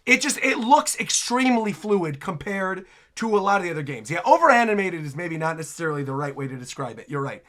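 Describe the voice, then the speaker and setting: Animated, bright-voiced male reaction host. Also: high voice, fast talker, few pauses. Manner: excitable, repeats himself for emphasis. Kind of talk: casual reaction commentary.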